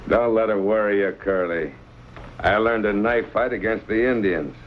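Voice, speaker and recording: Man playing boastful western henchman, lowish voice, swaggering, taunting, menacing, dull old drama recording. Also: moderate pace, a little hiss or hum, natural voice. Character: boastful, menacing frontier knife-fighter henchman.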